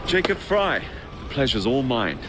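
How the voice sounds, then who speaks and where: A man's voice: medium pitch, sing-song, measured pace, background noise. A man playing a cocky, sardonic young Victorian assassin with a British accent in a video game. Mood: wry. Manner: cheeky, sardonic.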